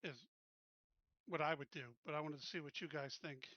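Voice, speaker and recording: A man's voice: on the high side, steady tone, normal speed, pushed and bright, dry recording. A man talking casually as a player in a tabletop roleplaying game. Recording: dull, lo-fi voice audio.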